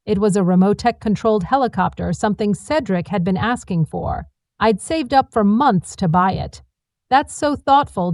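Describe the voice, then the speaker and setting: A woman narrates a scripted family drama in the first person. Her voice sits at a middle pitch for a woman, and the recording is very dry, with no room around it. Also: a little mellow, no echo.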